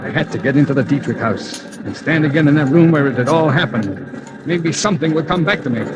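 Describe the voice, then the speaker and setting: A man's voice: on the high side, expressive, a little fast, natural voice. An actor playing a desperate amnesiac man wanted for murder in a 1940s radio thriller, urgent and insistent, on an old radio recording. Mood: troubled, resolute. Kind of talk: 1940s radio thriller acting with first-person narration.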